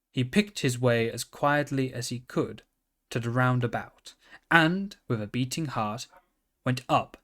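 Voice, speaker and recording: Slow-paced man reading a storybook. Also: mid-range voice. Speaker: storybook reader. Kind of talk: scripted children's story narration.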